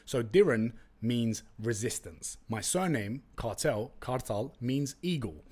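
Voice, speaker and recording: A man's voice: mid-range voice, takes it slowly, slightly rough. A young man from East London with a London accent, a Turkish native speaker, hosting a casual solo podcast in a chatty, slangy, joking way. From East London, England. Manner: chatty and animated, slangy, jokey asides. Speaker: podcast host.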